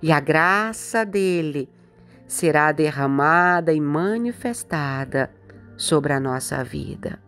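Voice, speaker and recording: A middle-aged woman bishop with a Brazilian Portuguese accent, preaching a devotional in a slow, earnest, pleading way, as a voice-over with soft music beneath. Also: low-pitched, animated.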